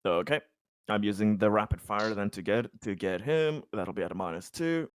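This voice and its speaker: A man's voice: fairly low pitch, normal speed. A man playing a tabletop roleplaying game, chatting casually with blunt, joking, sweary remarks.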